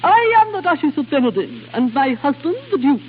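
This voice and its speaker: A woman's voice: high-pitched, sing-song, brisk. An actress playing a stiff, haughty middle-aged duchess who bosses her husband, in 1930s radio drama style. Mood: frosty. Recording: tinny, hissy 1930s radio broadcast.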